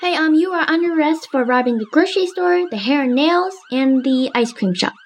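A woman's voice: sits high, lively intonation, a little fast. A woman playing a matter-of-fact female police officer in an improvised video game roleplay. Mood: stern, matter-of-fact. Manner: matter-of-fact, procedural questioning. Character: businesslike female police officer taking robbery reports.

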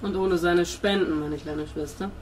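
A woman's voice: on the low side, fairly even intonation, normal speed, background noise, pitched below the performer's own voice, lightly acted. A woman voicing a huge, courteous, dryly amused male space marine in a tabletop game.

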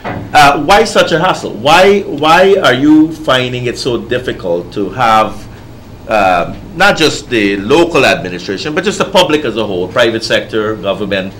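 A man's voice: medium pitch, animated, moderate pace, fairly bright tone, slight background noise. A man hosting a talk show, interviewing a panel in a conversational way.

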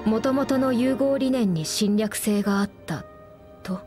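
A woman's voice: a little high, measured pace, warm tone, close to an everyday voice. A woman voicing a composed, courteous young woman in a game cutscene, asking questions in a formal, polite manner. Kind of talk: video game cutscene voice acting. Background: game soundtrack music.

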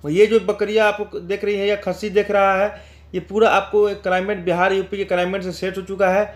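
A man's voice: high-pitched, flat delivery, fairly quick. A man giving an informal promotional voice-over as a channel host, explaining things repetitively and addressing viewers directly.

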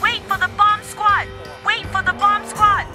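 Firm, high-pitched and commanding, this is a stern police dispatcher in a video game. She issues orders over the radio with clipped authority. A loud game score plays behind her. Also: little pitch movement, a little slow, loud music behind the voice.

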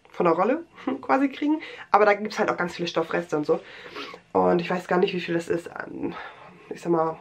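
A woman's voice: mid-range voice, muffled recording. A young woman with a cold, rambling chattily through a show-and-tell vlog in a small bedroom, full of fillers and restarts. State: has a cold. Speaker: vlogger.

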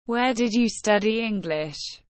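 A woman reading short English phrases for learners, slow and careful, evenly spaced. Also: fairly high pitch, no echo.

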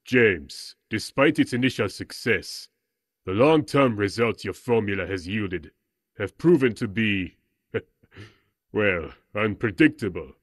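A formal, courtly corporate dealmaker in an audio drama. His voice is husky and recorded close and dry. He speaks with polite menace and careful evasion. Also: lowish voice, lively intonation, takes it slowly, dry recording, exaggerated voice. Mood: politely menacing. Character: smooth-talking, menacing male corporate client representative. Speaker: actor.

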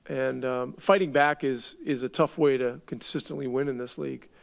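A middle-aged man, a head coach, answering reporters at a postgame press conference in a measured way, often restarting his words as he thinks. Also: mid-range voice, fairly even intonation, vocal fry. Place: arena press room. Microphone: table mic.

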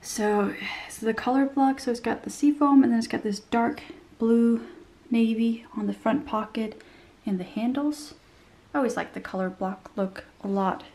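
A woman's voice: fairly high pitch, moderate pace, mellow. Chatty young woman, slightly nasal. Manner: chatty and descriptive, many fillers. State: slightly nasal after a cold. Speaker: bag reviewer. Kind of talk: casual product review. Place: small indoor room.

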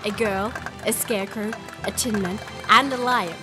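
An actress playing a spirited young princess who tells her adventure in an expressive storybook style for an audio drama. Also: medium pitch, normal speed, music in the background, natural voice. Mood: bright storytelling.

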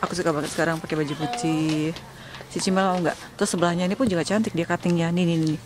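A woman's voice: lowish voice, steady tone, very fast. A woman in her thirties with an Indonesian accent, chatting casually as a vlogger, slangy and exclaiming, mixing in English words. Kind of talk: casual shopping vlog chat. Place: indoor clothing shops in a busy mall.

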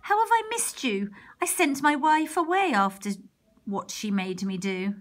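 A woman's voice: sits high, big pitch swings, measured pace, pitched above the performer's own voice. A woman voicing a loving, overjoyed woodcutter father in a storybook, exclaiming with emotion.

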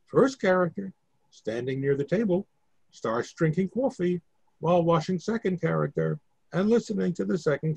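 A man's voice: on the high side, gravelly, darkish tone, slightly rough, no room sound. A man reading stage directions as scripted narration for a play reading on a video call.

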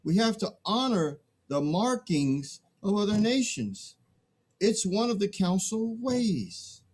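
Man acting a husky, hesitant peacemaker. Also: high-pitched, very animated, slow pace, a little mellow, full character voice. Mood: earnest, troubled. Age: middle-aged. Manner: hesitant, earnest. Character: conscientious man pleading for the old ways. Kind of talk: dramatic play reading. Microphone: computer mic on video call.

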